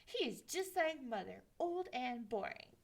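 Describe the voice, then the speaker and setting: Woman playing a storybook boy sprite, mischievous, raised pitch, unhurried. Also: big pitch swings, gravelly, exaggerated voice. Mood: cheeky.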